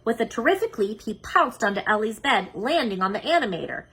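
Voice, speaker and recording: A woman reading a children's story aloud as narrator, expressive and emphatic. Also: fairly high pitch, fairly quick, slightly rough. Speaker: children's book reader.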